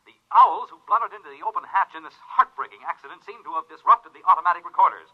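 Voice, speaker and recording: A man's voice: sits high, animated, fast talker. An actor playing an earnest American television newsman giving live commentary in a 1950s radio drama, heard through a broadcast filter. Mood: grave. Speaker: broadcast reporter. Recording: tinny band-limited broadcast sound.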